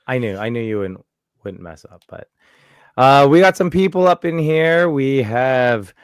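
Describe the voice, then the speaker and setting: A man's voice: medium pitch, expressive, measured pace, smooth, dry recording. Man hosting a joking podcast, dry mic. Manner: joking, upbeat banter. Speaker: podcast host. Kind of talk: casual chat.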